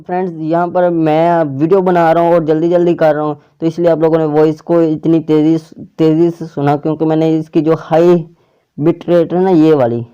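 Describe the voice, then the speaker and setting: A man's voice: on the high side, little pitch movement, normal speed, mellow, clear, resonant tone, dull audio. A man hosting a casual tech tutorial, explaining an app step by step to viewers. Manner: step-by-step instructions addressed to viewers.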